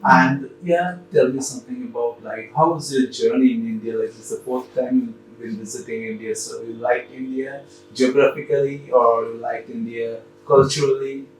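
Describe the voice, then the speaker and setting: A man's voice: mid-range voice, steady tone, normal speed, hardly any pauses, slight background noise. Brief: talkative male interviewer who finishes the guest's sentences, mid-range voice, mic set far off in a very echoey room. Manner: wordy, talks over and finishes the guest's sentences. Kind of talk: casual interview. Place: art gallery.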